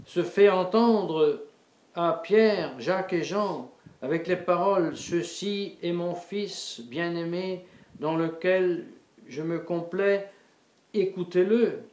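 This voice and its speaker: Slow, halting priest in an echoey chapel. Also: male voice, sits high, a little mellow. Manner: halting, with many repeated words and fillers. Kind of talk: sermon. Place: small wood-panelled chapel before an altar.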